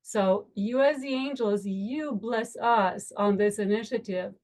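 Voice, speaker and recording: A woman's voice: mid-range voice, darkish tone. A woman from Romania, an attorney, addressing a virtual community meetup in an earnest, uplifting way on a video call. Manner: earnest, uplifting, slow and deliberate with emphatic lists. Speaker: attorney and education board member.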